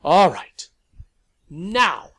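A man's voice: a little high, very animated, measured pace, darkish tone, no echo, pitched above the performer's own voice. A man voicing a tough, foul-mouthed woman vampire who barks and snarls her orders. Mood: barking. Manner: barking, snarling, gruff.

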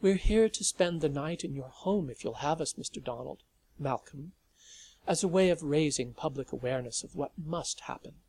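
A man voicing a calm, gentle nun who speaks softly and with composure in a storybook reading. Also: fairly high pitch, normal speed, breathy edge. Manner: soft, quiet, composed.

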